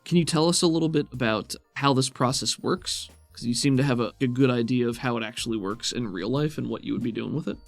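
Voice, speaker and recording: A man's voice: medium pitch, quick pace, gravelly, few pauses, dry recording, soft background music. A man running a tabletop game as game master, talking casually and encouragingly to players.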